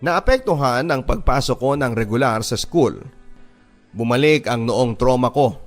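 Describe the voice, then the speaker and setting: A man's voice: medium pitch, lively intonation, a little slow. A man with a Filipino accent narrating a first-person story as a scripted radio drama. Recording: music behind the voice. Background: background music.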